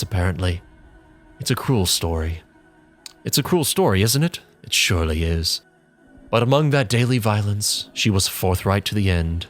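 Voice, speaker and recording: Casting note: man, very low register, dramatic first-person reading, measured and bitter in tone, faint music underneath. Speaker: reader.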